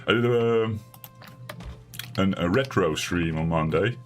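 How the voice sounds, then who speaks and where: A man with a raspy voice streaming a survival game from home, chatting casually and rambling in a self-deprecating way. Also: deep voice, slow pace, soft background music. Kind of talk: casual let's-play commentary.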